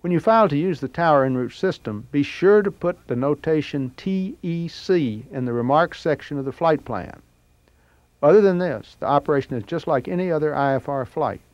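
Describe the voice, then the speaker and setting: A dull, muffled tape with clear noise carries a man's voice. He narrates a scripted training lesson for pilots in a plain-spoken, explanatory way. His pitch sits in the middle of the male range. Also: darkish tone.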